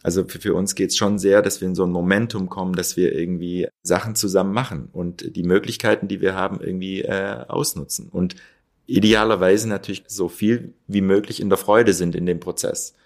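A man's voice: low voice, steady tone, brisk. A man who founded a hotel, talking reflectively as an interview guest, searching for words with many fillers.

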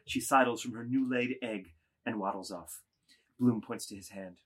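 A man's voice: medium pitch, moderate pace, a bit bright, natural voice. A man narrating a dramatised novel, reading out stage directions as scripted narration.

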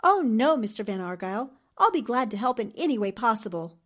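A poised, clever lady sleuth played by a woman in an old-time radio mystery. Her fairly high voice moves expressively while staying courteous. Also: big pitch swings, fairly quick. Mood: courteous, eager. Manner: polite, composed. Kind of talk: 1940s radio drama acting.

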